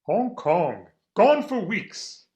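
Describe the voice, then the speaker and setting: A man's voice: sits high, big pitch swings, very slow, darkish tone, exaggerated voice. A man playing a stifled, lovestruck English attorney in a comic stage reading, fussy, earnest and self-deprecating. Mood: bashful, eager. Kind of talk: comic stage readers theater acting.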